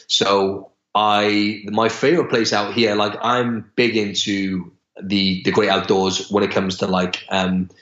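A man's voice: on the low side, little pitch movement, slow, a bit bright. Young man in an echoey room. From Wales. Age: young adult. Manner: chatty, many restarts and fillers. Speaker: podcast guest. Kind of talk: casual interview chat. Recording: echoey room, slightly dull sound.

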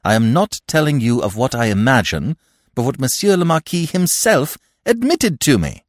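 A man reading aloud and voicing a calm, self-possessed, earnest young man. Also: mid-range voice, moderate pace, dry recording, studio quality, pitched above the performer's own voice, natural voice.

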